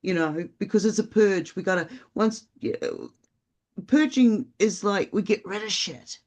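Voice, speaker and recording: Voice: medium pitch, unhurried, a little mellow. A podcast co-host talking in a casual, rambling and reflective way, with plenty of fillers. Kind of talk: casual spiritual podcast chat.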